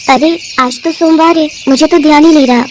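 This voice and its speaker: A woman's voice: high-pitched, steady tone, brisk, a little mellow, a little hiss or hum, natural voice. A woman voicing a meek, kind young daughter-in-law in a storybook tale, polite and anxious.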